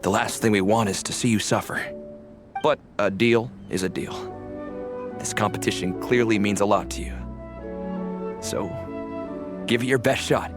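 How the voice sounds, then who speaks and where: A man voicing a loving but firm middle-aged father in an anime game, with measured delivery. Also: fairly low pitch, natural voice. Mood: tender, resigned. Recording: music behind.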